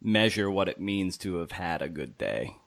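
A man's voice: low-pitched, very animated, normal speed, fairly bright tone, dry recording. A man hosting a casual podcast interview, asking questions in a tentative, roundabout way.

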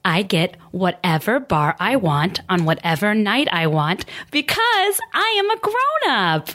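A woman's voice: mid-range voice, sing-song, measured pace, fairly bright tone. A woman playing a bitter, wounded ex-partner in a dramatic reading of breakup messages. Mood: defiant. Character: bitter ex-partner feigning civility after a breakup.

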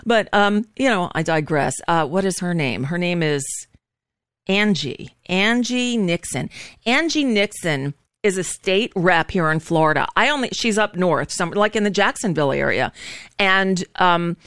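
A woman's voice: on the low side, lively intonation, a little slow, fairly bright tone, smooth, no room sound, studio quality. A woman hosting a live show with informal political commentary, speaking in a blunt, sardonic, rambling way. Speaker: talk show host.

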